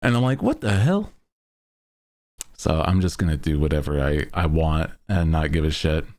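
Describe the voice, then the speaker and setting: A man's voice: very deep voice, lively intonation, moderate pace, a little mellow. A man hosting a livestream, chatting casually with viewers in a rambling, jokey, self-deprecating way. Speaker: VTuber livestream host. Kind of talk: casual chat with stream viewers.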